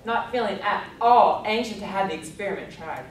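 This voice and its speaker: A woman's voice: mid-range voice, a little fast. A woman giving scripted storybook narration as part of a readers theater performance. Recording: very echoey room, distant mic, noisy.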